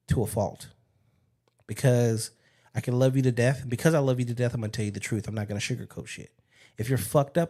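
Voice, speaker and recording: A man's voice: mid-range voice, darkish tone. A man hosting a podcast, talking in a frank, casual way and swearing freely. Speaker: podcast host. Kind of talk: casual interview chat.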